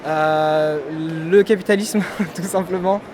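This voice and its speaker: A man's voice: high-pitched, steady tone, slow pace, background noise. Easygoing man talking in the street. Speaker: passer-by in street interview. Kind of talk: street vox pop.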